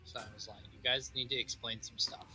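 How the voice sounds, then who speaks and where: A man's voice: medium pitch, little pitch movement, fast, pushed and bright, faint music behind, rough recording. A man chatting casually as a player in a tabletop role-playing game.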